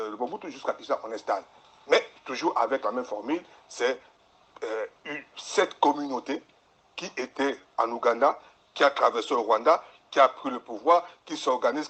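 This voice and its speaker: Man, husky voice, lively pitch, interview guest, explanatory, repeats words. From DR Congo. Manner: lecturing, repeats words while searching for them. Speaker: guest analyst.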